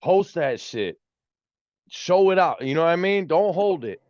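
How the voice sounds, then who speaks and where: A man's voice: on the high side, lively intonation, measured pace, a little mellow, dry recording, muffled recording. A man around forty, a music producer as guest, talking in a laid-back, casual way and ending lines with "man" and "you know what I mean". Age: 40s. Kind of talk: casual interview chat.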